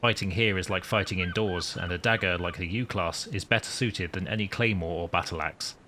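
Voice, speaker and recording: Man acting as wartime submarine commander, wry, formal, scripted narration, low bright voice, faint rumble. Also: a little fast. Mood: proud, reflective. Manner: formal, dry understatement. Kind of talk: scripted first-person story narration.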